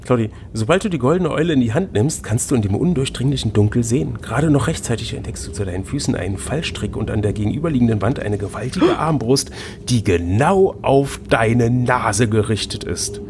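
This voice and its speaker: A man's voice: medium pitch, lively intonation, fairly quick, a little mellow, a little hiss or hum. A man co-hosting a podcast, reading a gamebook aloud with playful, teasing banter. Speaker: podcast co-host and reader.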